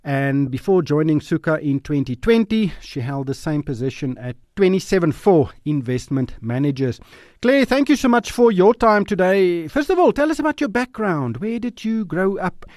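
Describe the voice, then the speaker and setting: A man's voice: sits high, sing-song, moderate pace, a little mellow, no room sound, studio quality. A man hosting an interview, reading a scripted introduction and then asking questions. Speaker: interview host.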